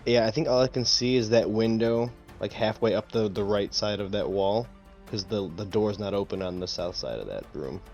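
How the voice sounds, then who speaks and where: Low-voiced man on a dull mic. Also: moderate pace, darkish tone, faint music behind. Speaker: player. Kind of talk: casual game talk.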